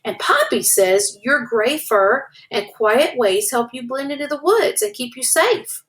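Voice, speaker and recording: A woman voicing a young male skunk in a read-aloud storybook, with a high, childlike squeal. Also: lively intonation, a little slow, fairly bright tone. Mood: gentle. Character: young male skunk, shy then brave.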